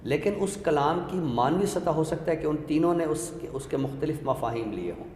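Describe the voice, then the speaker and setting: A man explains literature as a talk show guest, measured and scholarly, often posing rhetorical questions. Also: fairly high pitch, lively intonation, a little mellow. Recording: echoey hall-like room with faint noise.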